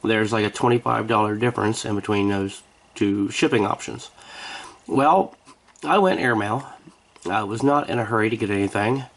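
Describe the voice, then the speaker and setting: A man's voice: on the low side, moderate pace, low-quality recording. An American man vlogging in a rambling, casual chat, with a wry, joking, sarcastic manner. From United States.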